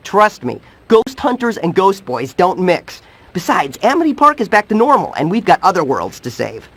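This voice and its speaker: A man's voice: high voice, very animated, normal speed, fairly bright tone, slightly rough, exaggerated voice. An actor voicing a determined, earnest teenage boy hero in a cartoon video game. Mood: relieved.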